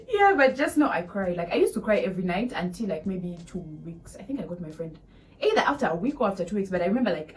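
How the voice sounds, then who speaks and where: A young woman in her twenties with a Ugandan accent, telling a casual storytime to viewers, animated and laughing often. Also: medium pitch, distant mic, poor audio. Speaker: vlogger. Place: small room indoors.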